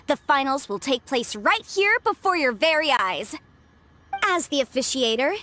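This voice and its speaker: High, bright young contest host. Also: female voice. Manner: formal, showy announcing. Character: bright, polished young female official hosting a contest. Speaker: host and officiator. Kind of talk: video game voice acting.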